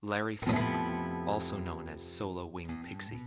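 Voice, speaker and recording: A man playing a thoughtful journalist narrating a war documentary in a video game, measured and serious. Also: low voice, fairly even intonation, natural voice. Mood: factual, grave. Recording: loud guitar music behind voice.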